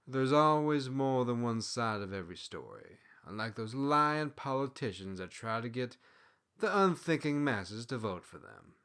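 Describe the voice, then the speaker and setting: A man's voice: mid-range voice, big pitch swings, unhurried, a bit bright, clean tone. A man voicing an outspoken, opinionated female tanuki servant in a storybook, arguing with emphasis. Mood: matter-of-fact.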